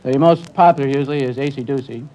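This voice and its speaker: An American man speaks as a naval commander being interviewed on a quiz show. He is polite and earnest, choosing his words in a measured way at a middling pitch. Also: heavy background noise.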